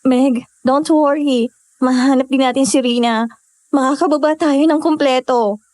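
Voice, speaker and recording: Woman as high-pitched sensible young hiker. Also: steady tone, unhurried, a little mellow. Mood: consoling. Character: sensible young female hiker comforting her friend. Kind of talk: audio drama acting.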